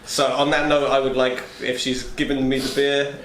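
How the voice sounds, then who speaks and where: A man's voice: mid-range voice, level tone, moderate pace, fairly bright tone. Male tabletop player in an echoey room. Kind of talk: casual chat mixed with role-play. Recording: echoey room with background noise.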